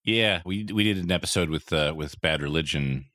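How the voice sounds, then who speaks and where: A man's voice: extremely low pitch, a little fast, bright, forceful tone, no echo, studio quality. A man hosting a podcast interview, asking curious, chatty questions. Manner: curious, chatty, laughs often. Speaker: podcast host.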